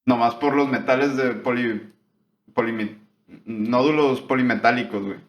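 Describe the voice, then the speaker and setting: A man's voice: medium pitch, normal speed, lo-fi sound. Young northern Mexican guy, casual podcast co-host, slangy and talkative, roomy echo with faint hum. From Tijuana, Mexico. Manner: slangy, explains at length, drops "güey" constantly. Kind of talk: casual chat.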